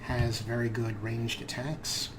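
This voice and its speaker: A man with a middle-pitched voice talks through his gameplay in a relaxed, explaining way, slipping in dry, wry jokes. Clear background noise runs behind his voice. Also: slow pace. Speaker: let's play host. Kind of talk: casual game commentary.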